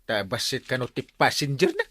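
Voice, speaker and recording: An actor with an Ilocano accent playing an aggrieved, sputtering village captain in a radio comedy drama. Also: male voice, medium pitch, huge pitch swings, moderate pace, pushed and bright, heavily acted. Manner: sputtering, exclamatory. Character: aggrieved, excitable male village captain who owns a tricycle.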